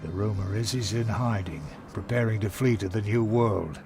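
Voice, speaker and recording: Man acting a sober fatherly gent in a game, lowish voice, formal, music in background. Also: moderate pace, lightly acted. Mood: grave. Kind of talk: dramatic video game dialogue.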